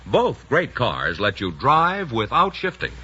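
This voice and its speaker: An American man delivers a 1950s radio sales pitch with emphatic polish. His voice is bright and very lively in pitch, on a dull old broadcast recording. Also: unhurried, slightly rough. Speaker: radio announcer. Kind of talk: 1950s radio commercial announcing.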